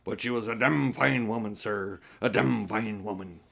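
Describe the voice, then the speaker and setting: A man voicing a vain, roguish old English gentleman from a storybook, fondly reminiscing and savoring his old-fashioned phrases. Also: on the low side, normal speed, vocal fry, pitched below the performer's own voice.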